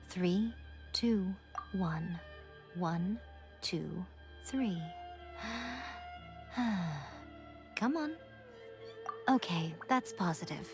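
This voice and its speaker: A woman voicing a sly, teasing, self-assured fox shrine priestess in a video game, with coy superiority. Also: mid-range voice. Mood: dry, teasing. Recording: music behind the voice.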